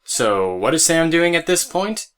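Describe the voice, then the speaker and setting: A man's voice: fairly high pitch, sing-song, measured pace, loud music behind the voice. A man narrating an audio drama as storyteller, with casual asides to the listener.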